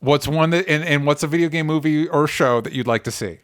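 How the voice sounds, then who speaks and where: A man's voice: on the high side, fairly quick, clean tone, dry recording, clean studio sound. A man in his thirties hosting a casual pop culture discussion, talking with opinionated enthusiasm and free swearing. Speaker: podcast host.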